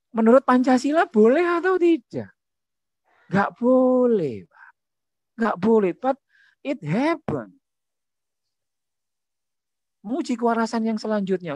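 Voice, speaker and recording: Animated man giving a lively talk. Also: high-pitched, no echo. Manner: animated, poses quiz questions. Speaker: speaker at a discussion.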